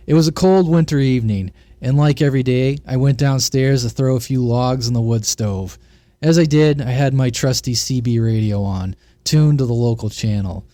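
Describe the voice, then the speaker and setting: A man's voice: medium pitch, normal speed, mellow, no room sound. A man narrating a scripted comic short story in a folksy, playful way. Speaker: narrator of CB radio short stories.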